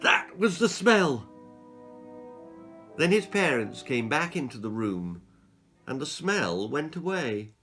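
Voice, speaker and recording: A man's voice: on the high side, very animated, slow pace, pauses often, fairly bright tone, breathy edge, soft background music. A man reading a children's horror-comedy story as its author, in a theatrical, suspenseful narrating style.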